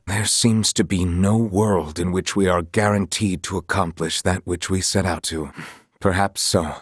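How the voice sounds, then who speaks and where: An actor playing an earnest, honorable young knight in a fantasy video game, speaking in formal, archaic, measured phrases. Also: male voice, on the low side, slightly rough, dry recording, studio quality. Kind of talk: dramatic video game voice acting.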